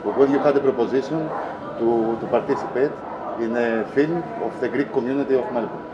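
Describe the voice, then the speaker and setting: A man interviewing a guest, asking halting questions with many hesitations. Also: mid-range voice, roomy sound. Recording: dull lo-fi sound with clear noise.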